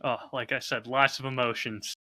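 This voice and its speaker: A man with a very bright, crisp-edged voice at a middling pitch hosts a casual podcast. He speaks reflectively and candidly, laying out his thoughts like someone used to telling stories. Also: flat delivery, normal speed. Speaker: podcast host and audio drama creator.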